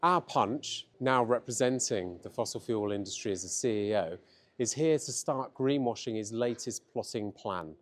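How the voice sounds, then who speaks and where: A man's voice: medium pitch, normal speed. A man campaigner explaining things informally in an interview to camera.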